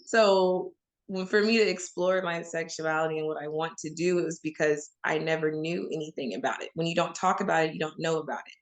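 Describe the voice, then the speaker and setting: A woman's voice: sits low, steady tone, a little fast. A woman from Oklahoma giving candid, emphatic answers as an interview guest, speaking straight to the audience. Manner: frank and emphatic, addresses listeners as y'all.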